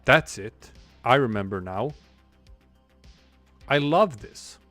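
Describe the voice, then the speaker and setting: A man's voice: medium pitch, animated, takes it slowly, fairly bright tone, dry recording, soft background music. A man in his forties, a streamer and comic artist, talking in a rambling, reflective way while he draws, with many restarts. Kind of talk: casual livestream chat while drawing.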